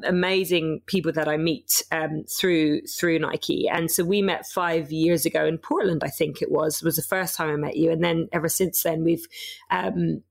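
A woman hosting a podcast with a croaky voice, chatting casually with lots of ums and restarts. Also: low-pitched. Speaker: podcast host. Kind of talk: casual interview chat.

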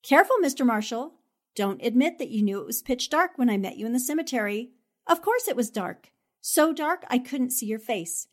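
A woman acting a sharp, confident newspaperwoman in 1940s radio drama style, crisp and confrontational. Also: a little high, lively intonation, normal speed. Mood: confident, pointed.

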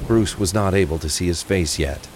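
A man's voice: low-pitched, moderate pace. A man reading scripted narration for a crime thriller audio drama. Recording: faint rain and traffic ambience. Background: rain, vehicle sounds.

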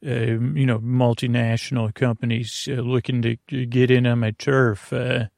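Creaky-voiced man rambling through a bedtime podcast. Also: medium pitch, little pitch movement, a little mellow, dry recording, studio quality. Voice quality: gravelly, creaky. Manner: meandering, halting, absent-minded, self-interrupting. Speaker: podcast host.

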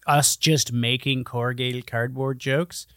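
A man's voice: mid-range voice, flat delivery, unhurried, fairly bright tone, clear, resonant tone. A man in his thirties co-hosts a casual podcast chat. He tells stories in a loose, profane, joking way. The recording is dry and free of room echo. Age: 30s. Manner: profane, jokey. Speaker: podcast co-host.

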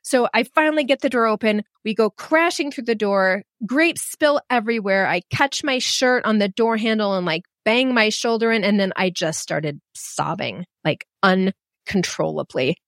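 A middle-aged woman telling a personal story in a frank, animated and self-deprecating way. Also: medium pitch, normal speed, dry recording, studio quality. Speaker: storyteller guest.

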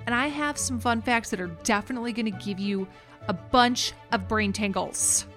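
A woman hosting her own podcast, chatting warmly and enthusiastically with a guest. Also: fairly high pitch, normal speed, faint music behind. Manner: enthusiastic, affirming. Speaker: podcast host. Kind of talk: casual interview chat.

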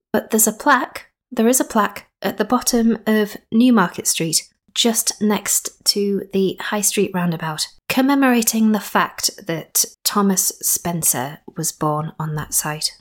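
A young woman from Skipton, hosting and interviewing in a rambling style with playful asides. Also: medium pitch. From Skipton, North Yorkshire. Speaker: podcast host. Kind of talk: chatty spoken introduction and interview.

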